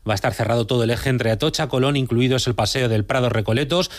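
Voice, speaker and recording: A man from anchoring a radio news bulletin in a measured, formal read. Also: mid-range voice, no room sound, clean studio sound. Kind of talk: scripted news reading. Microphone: studio microphone.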